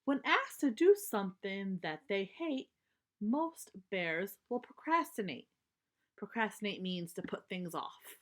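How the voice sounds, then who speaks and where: A woman reading a children's storybook aloud expressively, pausing to explain words simply in an emphatic way for young listeners. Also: mid-range voice, very animated, a bit bright, slight background noise.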